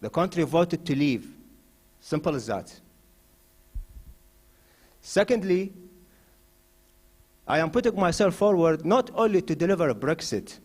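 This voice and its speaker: Man from Iraq, a candidate speaking at hustings; fairly high-pitched, forceful and emphatic. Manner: forceful, rising to emphatic declarations, frequent "uh" fillers. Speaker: election candidate. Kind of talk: campaign speech at hustings. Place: mosque hall.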